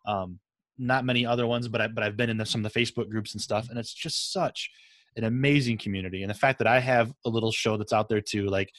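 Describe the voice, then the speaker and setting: A man with a slightly low voice hosts a casual interview. He talks informally and with enthusiasm, and his sound is very dry, with no room echo. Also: steady tone, fast, fairly bright tone. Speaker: podcast host. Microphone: USB desktop microphone.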